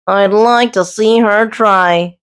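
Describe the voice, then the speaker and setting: A woman's voice: mid-range voice, steady tone, a little hiss or hum, close to an everyday voice. A woman voicing a grumpy, reluctant male goose in a storybook, mumbling and groaning glumly. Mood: glum, weary. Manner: slow, glum mumbling and groaning. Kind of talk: children's storybook read-aloud.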